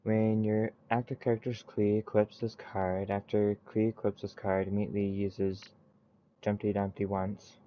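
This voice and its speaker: A man giving casual gameplay commentary, haltingly reading text aloud and muttering to himself. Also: lowish voice, level tone, lo-fi sound. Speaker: gaming streamer. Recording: faint background noise.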